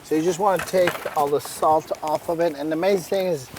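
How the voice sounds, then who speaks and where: A man from Iran, a guest chef, calmly talking through dishes outdoors, step by step with a few fillers. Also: fairly high pitch, a little fast, slight background noise. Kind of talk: casual cooking chat. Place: outdoors at a villa table.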